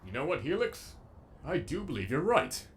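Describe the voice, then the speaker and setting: A man's voice: fairly high pitch, sing-song, moderate pace, creaky, slight background noise. A man voicing a sadistic, erudite robot villain with an eloquent, menacingly polite delivery, as in a comic dub. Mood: mocking, menacing.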